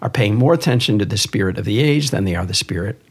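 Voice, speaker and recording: A man's voice: lowish voice, animated, quick pace, breathy edge. A man speaking as a priest and podcast host in earnest religious discussion, emphatic and given to repeating key phrases.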